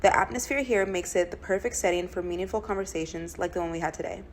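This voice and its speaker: A woman's voice: lowish voice, fast, a little hiss or hum. A woman podcast host reading an upbeat scripted thank-you to close the episode. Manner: upbeat, read-out outro.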